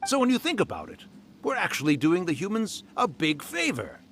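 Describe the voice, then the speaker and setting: A man's voice: sits high, huge pitch swings, a little fast, fairly bright tone, slight background noise, heavily acted. A man voicing a mischievous old male fox spirit in a video game, theatrical and boastful. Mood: teasing. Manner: theatrical, hammy villain voice, then genial and teasing. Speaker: yokai spirit character.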